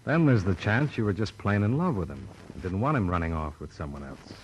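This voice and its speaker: An actor playing a skeptical, hard-boiled insurance investigator in an old radio noir drama, speaking in a dry, blunt, sardonic way. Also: male voice, deep voice, lively intonation, brisk, lo-fi sound, a little hiss or hum. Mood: blunt, probing. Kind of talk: 1950s radio noir acting and narration. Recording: old radio recording with background music.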